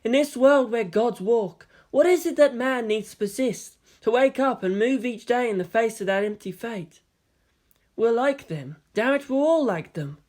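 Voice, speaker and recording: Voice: medium pitch, a little mellow, pitched above the performer's own voice, natural voice. A narrator voicing an earnest, geeky young male lieutenant who lectures emphatically. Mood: bleak, searching.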